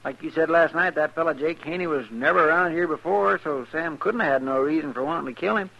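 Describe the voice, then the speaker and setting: An actor playing a guileless, good-natured deputy with a twangy rural Western American drawl in an old radio show. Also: male voice, a little high, quick pace, gravelly, a bit bright. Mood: amiable. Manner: drawling, folksy. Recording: muffled old radio broadcast.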